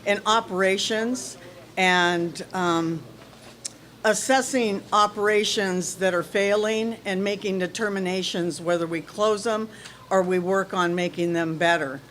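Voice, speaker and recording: A woman in her sixties projects her naturally loud voice across a community meeting as she speaks plainly as a candidate. Faint noise hums under the recording. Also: mid-range voice. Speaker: board candidate.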